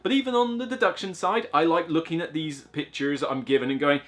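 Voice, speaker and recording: A man's voice: on the high side, fairly quick, a bit bright. A man reviewing board games in a casual, enthusiastic, rambling way, full of fillers and asides.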